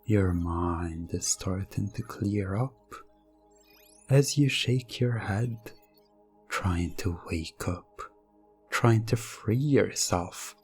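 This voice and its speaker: A man delivering scripted hypnosis ASMR narration in a deliberate, commanding manner. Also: deep voice, slightly rough, soft background music. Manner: slow, deliberate, commanding second-person delivery. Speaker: hypnosis ASMR role-play performer.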